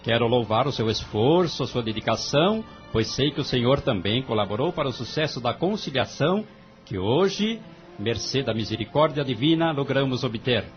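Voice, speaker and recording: An actor playing a solemn, benevolent judge, speaking formally and ceremoniously in an audio drama. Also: male voice, mid-range voice, animated, normal speed, close to an everyday voice. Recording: dull lo-fi audio, music underneath.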